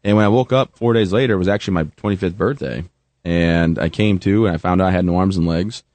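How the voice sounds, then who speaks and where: A man's voice: low voice, fast talker, darkish tone, no room sound. A man in his thirties, a veteran guest telling his story with self-mocking humor. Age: 30s. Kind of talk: joking then earnest interview talk.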